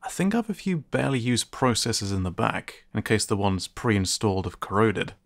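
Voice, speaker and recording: A man's voice: on the low side, very animated, normal speed. An actor playing a friendly, teasing sci-fi repairman in an ASMR roleplay, with a casual, joking manner. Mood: casual, amused.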